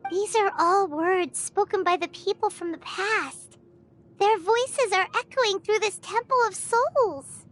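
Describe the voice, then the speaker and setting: Squeaky, excitable little fairy companion. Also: female voice, slow pace, faint music behind, heavily acted. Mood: explanatory. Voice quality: squeaky, childlike. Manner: eager, exclamatory. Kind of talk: video game voice acting.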